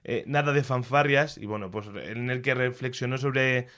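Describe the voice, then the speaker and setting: Man, Castilian Spanish accent, mid-pitched voice, casual review chat, opinionated, colloquial. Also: fairly even intonation, normal speed, a bit bright. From Castilla-La Mancha, Spain. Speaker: culture commentator.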